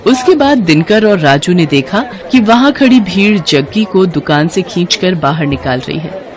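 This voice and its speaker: A woman's voice: fairly low pitch, animated, moderate pace. A woman reading scripted narration as the storyteller of a cartoon moral tale.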